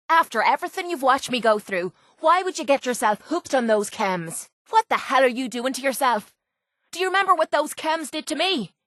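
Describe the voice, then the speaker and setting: A woman's voice: fairly high pitch, fairly bright tone, no room sound, clean studio sound. A woman voicing a tough, foul-mouthed young pit fighter in a video game, with an Irish accent and a blunt, cocky, sarcastic way of talking. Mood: disgusted, scolding. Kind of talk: video game companion voice lines.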